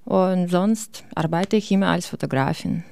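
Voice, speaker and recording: A woman from Ukraine, a photographer in her forties, answering interview questions in halting German with many fillers. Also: lowish voice, vocal fry, warm tone, clean tone, dry recording. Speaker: guest photographer. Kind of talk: radio interview.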